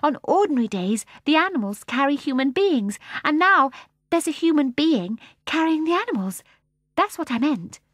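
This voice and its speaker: A polite, earnest young girl, voiced by a woman reading a storybook. Her lines come high and eager, politely explaining herself. The recording is dry and close. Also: big pitch swings, moderate pace, no room sound. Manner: polite, apologetic.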